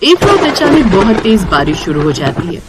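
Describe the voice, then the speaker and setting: Expressive woman narrating a story. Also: medium pitch, normal speed, very noisy.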